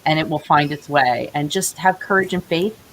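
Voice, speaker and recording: Female writer guest, low register, earnest and encouraging, faint hiss on the remote recording. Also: normal speed. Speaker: interview guest, playwright. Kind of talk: casual remote interview talk. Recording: hissy, noisy remote call audio.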